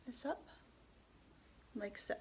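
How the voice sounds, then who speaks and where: Woman, stuffy nose from a cold, slow unhurried pace, calm tutorial host, slips into soft whispers. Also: slight background noise. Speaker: head wrap tutorial host. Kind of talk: step-by-step tutorial.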